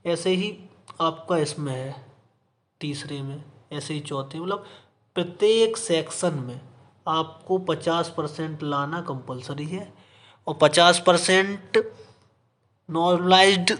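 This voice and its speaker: Male exam coach, raised pitch, explanatory delivery with repeated phrases and English terms mixed in. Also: sharp tone. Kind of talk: explanatory lesson, reading and explaining an official notice.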